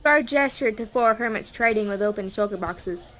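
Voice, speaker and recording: Woman narrating a story, medium pitch. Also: normal speed, a bit bright, some chatter behind, low-quality recording. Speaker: audiobook narrator. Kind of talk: scripted dramatic story narration.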